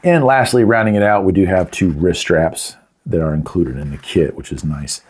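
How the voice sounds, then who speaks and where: A man's voice: low-pitched, normal speed, a little mellow, breathy edge. A middle-aged man giving a casual, unscripted product review in a folksy, chatty, plain-spoken way. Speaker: host of a product review channel.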